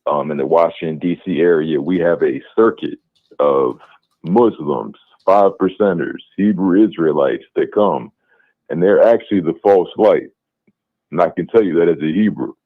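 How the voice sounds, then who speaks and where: Deep-voiced male caller on a phone line. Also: normal speed, no room sound. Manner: insistent, talks over the host. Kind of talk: call-in debate.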